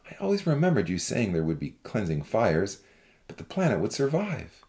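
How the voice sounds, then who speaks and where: Man acting a low-voiced, earnest, devout man. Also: huge pitch swings, normal speed, a little mellow. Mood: reflective, solemn. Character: gentle, devout men in a Bible story. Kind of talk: dramatized audiobook dialogue.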